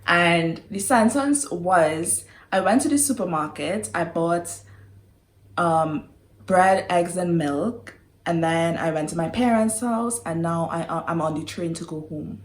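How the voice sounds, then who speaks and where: A woman's voice: fairly low pitch, measured pace. Chatty Trinidadian woman in a roomy space. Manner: chatty, giggly, breaks off with sighs and apologies. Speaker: vlogger. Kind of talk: casual chat to camera. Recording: roomy echo.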